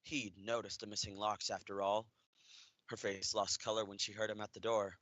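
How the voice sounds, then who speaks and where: Young man as bright, earnest radio play storyteller. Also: fairly low pitch, flat delivery, normal speed, no echo, muffled recording, natural voice. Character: earnest storyteller narrating a tender Christmas tale. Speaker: narrator.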